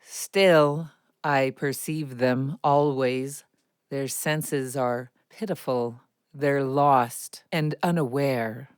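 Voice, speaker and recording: A woman playing a wary, brooding snake in an audio drama, speaking in a deliberate, ominous manner. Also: extremely low pitch, clean tone, no room sound, clean studio sound, heavily acted.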